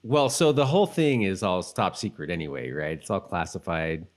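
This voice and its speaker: Male podcast co-host, lowish voice, casual and rambling, feels his way through explanations. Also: animated, moderate pace. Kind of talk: casual rewatch chat.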